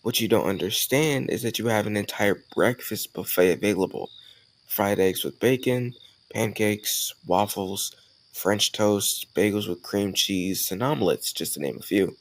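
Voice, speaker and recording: Male actor as cocky college charmer, young, low creaky voice, casual teasing delivery, romantic audio roleplay. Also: unhurried. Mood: playful, persuasive. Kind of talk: scripted romantic audio roleplay addressed to the listener.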